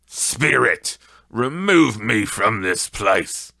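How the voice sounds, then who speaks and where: A man's voice: on the low side, sing-song, extremely slow, creaky, pushed and bright, no echo, studio quality, exaggerated voice. A man voicing an agitated, remorseful older miser in an audiobook of a Victorian tale. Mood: anguished pleading.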